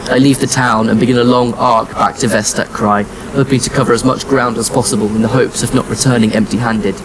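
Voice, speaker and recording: A man playing a somber, determined wanderer, narrating a science fiction audio drama in the first person, measured and reflective. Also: medium pitch, steady tone, noisy background, close to an everyday voice.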